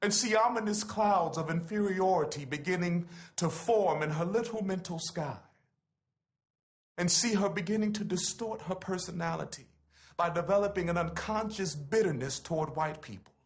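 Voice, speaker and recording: A man's voice: sits high, moderate pace. A man giving a dramatic recitation of a written letter in an oratorical, impassioned manner with emphatic phrasing.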